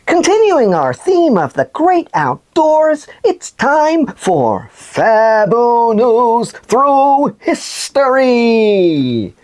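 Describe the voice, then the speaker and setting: A woman voicing an excitable, playful puppet news presenter on a children's show, with a theatrical, expressive delivery. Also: fairly high pitch, very slow, heavily acted. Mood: upbeat, explanatory.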